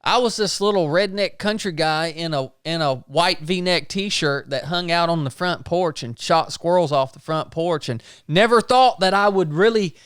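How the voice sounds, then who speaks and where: A man hosts a podcast in an earnest, folksy way. His voice sits fairly high and comes across smooth and resonant. The sound is close and dry, on a podcast microphone. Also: normal speed, few pauses, fairly bright tone, dry recording. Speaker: podcast host. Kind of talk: casual interview chat.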